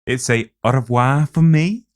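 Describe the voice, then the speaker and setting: A man's voice: medium pitch, a little slow, darkish tone. A man co-hosting a podcast with casual banter. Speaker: podcast co-host.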